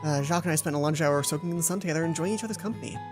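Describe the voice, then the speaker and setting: A woman's voice: low voice, racing, over music. A woman doing a let's play, reading a visual novel aloud and adding casual commentary. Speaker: let's player.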